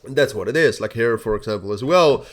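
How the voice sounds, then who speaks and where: A man's voice: medium pitch, animated, fast talker. A young man with a stuffy, nasal voice hosting a casual crypto update, rambling with many restarts and "all right?" checks. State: blocked nose. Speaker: crypto channel host. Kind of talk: casual market update to camera.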